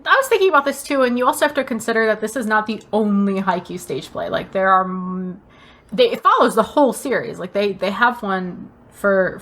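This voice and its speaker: A woman co-hosting a podcast in casual, informal conversation, rambling along in an animated way. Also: mid-range voice. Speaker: podcast co-host. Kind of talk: casual chat about anime and stage plays.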